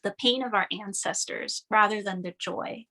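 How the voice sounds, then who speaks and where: A woman from Cameroon speaking as a guest in a reflective spiritual conversation, affirming and searching gently for words. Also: medium pitch, normal speed, rough recording.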